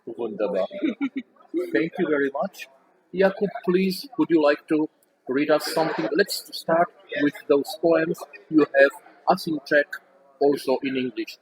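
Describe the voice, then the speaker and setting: A man's voice: on the high side, very animated, unhurried, poor audio. Courteous male host. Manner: courteous and formal, with hesitant fillers. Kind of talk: literary salon moderation.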